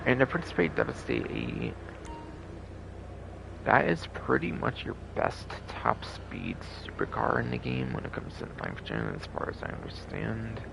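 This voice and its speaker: A man's voice: fairly low pitch, huge pitch swings, unhurried, gravelly, noisy background. A man with a tired throat doing casual, rambling game livestream commentary, repeating himself, hedging and swearing casually.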